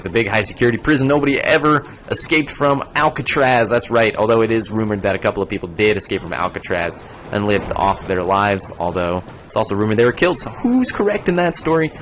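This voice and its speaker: A man's voice: lowish voice, a bit bright, a little hiss or hum. A young man streaming a video game, chatting casually, rambling and joking as he plays. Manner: rambling, joking, breaks into goofy voices. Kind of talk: casual gaming commentary.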